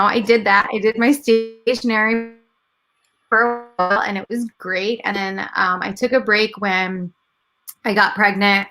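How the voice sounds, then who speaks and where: A woman's voice: mid-range voice, sharp tone. A woman in her thirties whose first language is Gujarati, telling her story in a casual interview and often quoting her own past thoughts. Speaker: guest, entrepreneur and mother.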